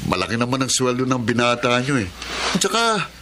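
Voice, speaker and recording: A man's voice: medium pitch, very animated, fairly quick, noisy background, natural voice. An actor playing a boastful, self-satisfied young man in a radio drama. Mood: smug, boastful. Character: boastful, vain young man, cocky boyfriend and son.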